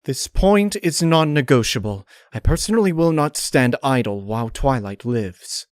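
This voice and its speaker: A vengeful, ladylike pony voiced by a man for a dark storybook tale. The pitch is lifted above his usual voice, and the diction is formal, theatrical and condescending, with chilly politeness over an unhinged streak. The sound is very dry. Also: animated, moderate pace, no echo, close to an everyday voice. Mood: cold, accusing.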